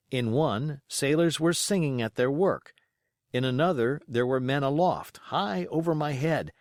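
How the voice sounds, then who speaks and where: A man reading a classic adventure novel aloud as an audiobook, in an expressive, measured storytelling delivery. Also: fairly high pitch, no room sound, studio quality. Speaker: audiobook reader.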